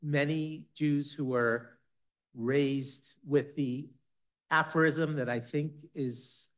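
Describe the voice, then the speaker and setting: A man on a discussion panel, recounting a personal story in a halting way with many restarts. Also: on the high side, fairly even intonation. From Israel-based.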